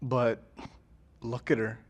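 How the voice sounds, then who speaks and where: A man's voice: mid-range voice, little pitch movement, takes it slowly. An actor playing a shady, cowardly male criminal seller in an audio drama. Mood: insistent.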